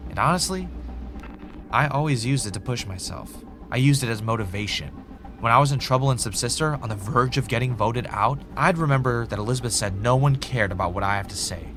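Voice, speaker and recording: An actor playing a bitter, self-justifying former reality show winner turned podcaster, delivering a reflective confessional monologue with dramatic pauses. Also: male voice, fairly low pitch, lightly acted. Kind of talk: confessional first-person scripted audio drama monologue.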